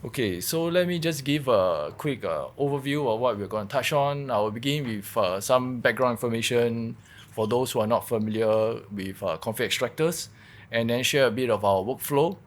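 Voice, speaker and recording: A man giving a technical workshop presentation, explaining in a halting way and repeating words as he goes. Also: medium pitch. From Singapore. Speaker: workshop presenter, malware researcher.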